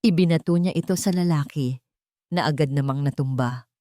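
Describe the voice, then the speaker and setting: Female storyteller for scripted romantic drama, lower register, dry studio-like sound. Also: normal speed, dark tone, no echo. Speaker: story narrator.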